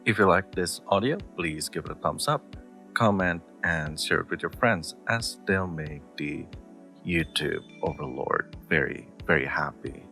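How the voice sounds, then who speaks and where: A man's voice: sits low, expressive, measured pace, sharp tone, faint music behind. A man who makes audio roleplays, giving a friendly sign-off to his channel's listeners. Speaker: audio roleplay creator and voice actor.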